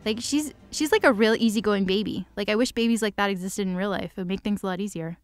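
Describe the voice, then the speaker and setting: A woman's voice: medium pitch, quick pace, no echo. Joking, slangy woman chatting casually. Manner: joking, slangy, uses like constantly. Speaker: co-host reacting to a story recap. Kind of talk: casual comedic chat.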